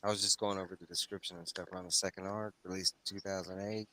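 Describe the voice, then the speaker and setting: A man's voice: deep voice, little pitch movement, fast talker, heavy background noise. A man hosting a casual group livestream discussion, talking in a folksy, informal way to his co-hosts and viewers. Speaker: host of a comics read-along livestream. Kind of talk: casual discussion and plot recap.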